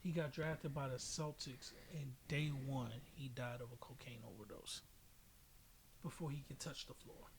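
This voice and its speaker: A man's voice: mid-range voice, fairly even intonation, normal speed. An American man from Chicago talking sports casually, explaining his opinions with examples. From Chicago, United States. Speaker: podcast host. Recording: noisy amateur podcast audio.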